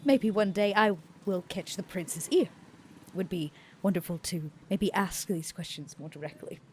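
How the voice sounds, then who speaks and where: A woman voicing a composed, guarded female vampire, speaking slowly and in a measured way, often half-whispered. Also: lowish voice, slight background noise, natural voice.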